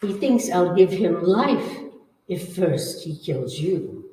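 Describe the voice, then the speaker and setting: A woman playing a menacing, bloodthirsty old female vampire in old-time radio horror style, with commanding, drawn-out phrasing. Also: lowish voice, animated, mellow. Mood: urgent, conspiratorial. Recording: echoey hall, far from the mic.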